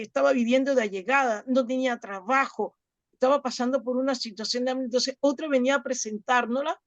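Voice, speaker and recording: A woman from Latin America telling a personal story to a group in an earnest, halting way, repeating words as she goes. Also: a little high, dry recording, highs cut off. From Latin America, outside Chile. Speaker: club volunteer and workshop participant.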